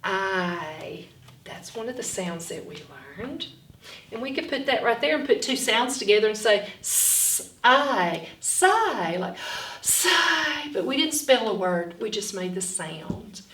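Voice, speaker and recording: A woman reading and teaching phonics to young children in an expressive, instructional way, sounding out words. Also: mid-range voice, unhurried, a little hiss or hum. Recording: roomy echo, distant from mic.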